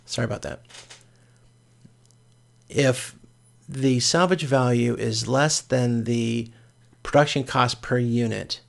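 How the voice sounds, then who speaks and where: A man giving an informal, step-by-step spreadsheet lecture as a course instructor, with a gravelly, creaky voice. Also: medium pitch, slow pace, pauses often. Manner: explains step by step in plain informal words.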